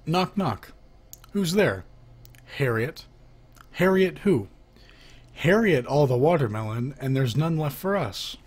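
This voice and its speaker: A man reads a joke book at an unhurried pace. His voice is husky. His pitch swings widely as he names each speaker and then delivers their line. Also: animated. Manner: lifts his voice for the asking half of knock-knock jokes. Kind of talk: reading jokes aloud.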